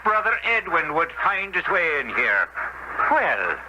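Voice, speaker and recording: An actor playing a sarcastic, bossy, menacing crook in a 1970s radio drama. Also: male voice, on the high side, big pitch swings, a little slow, highs cut off. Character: sneering, domineering, menacing small-time crook. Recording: very noisy old radio broadcast.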